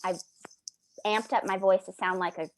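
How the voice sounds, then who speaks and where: A woman's voice: medium pitch, fairly even intonation, moderate pace. A woman with a distinctive, youthful, almost childlike voice, chatting casually as an actress on a panel. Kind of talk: casual chat.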